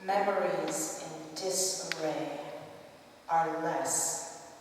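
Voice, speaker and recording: A woman poet reciting her own verse in measured short phrases with pauses, recorded from a distance in an echoey hall. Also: low voice, fairly even intonation, background noise. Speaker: poet reading own work. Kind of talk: poetry recitation. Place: large reverberant hall at a live reading.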